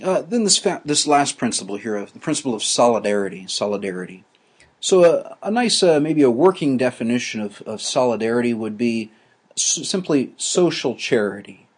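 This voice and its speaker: A man teaching a class, explaining ideas slowly with frequent restarts and fillers. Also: medium pitch, very animated, husky. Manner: halting, many restarts and fillers.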